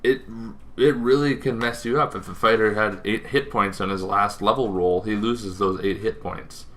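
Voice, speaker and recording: Bright, forceful lower-pitched man explaining informally. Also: fairly even intonation, normal speed. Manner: rambling, with asides and self-corrections. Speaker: host of a tabletop gaming show.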